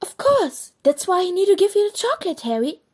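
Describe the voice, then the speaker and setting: A woman playing an earnest, brisk young schoolgirl witch in an audio drama, speaking precisely. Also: extremely high pitch, big pitch swings, darkish tone. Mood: brisk, assured. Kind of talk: fan audio drama acting.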